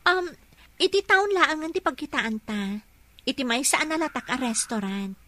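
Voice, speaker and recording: A woman's voice: high voice, sing-song, normal speed, heavy background noise. A woman acting a young, evasive girlfriend in a radio drama, with an Ilocano accent. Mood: casual. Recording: music bed behind the voice.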